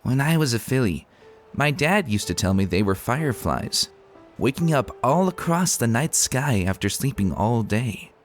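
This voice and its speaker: A man's voice: mid-range voice, lively intonation, moderate pace, close to an everyday voice. A man voicing a brash, playful young female pegasus pony with a breezy, cheeky manner in a fan fiction reading. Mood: musing, breezy. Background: music.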